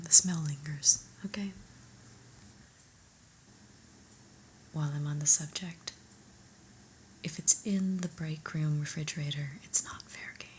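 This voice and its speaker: A woman playing a stern, unimpressed new office boss in an ASMR roleplay, speaking in a soft breathy whisper with a deliberate, dry, officious manner. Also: fairly low pitch, slight background noise, close to an everyday voice, low-quality recording. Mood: firm, dry.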